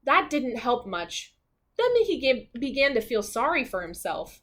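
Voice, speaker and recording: Slow, expressive woman reading to children. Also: a little high. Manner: expressive, pausing to comment and question the listener. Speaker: teacher reading aloud. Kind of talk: children's storybook read-aloud.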